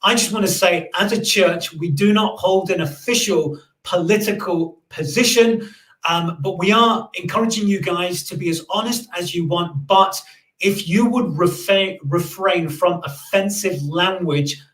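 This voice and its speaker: A man hosts a live-streamed interview in a loose, chatty way, full of "uh"s and restarts. His voice sits high for a man. The room around him rings with echo. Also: a little slow, a bit bright. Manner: chatty, many fillers and restarts. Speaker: podcast host.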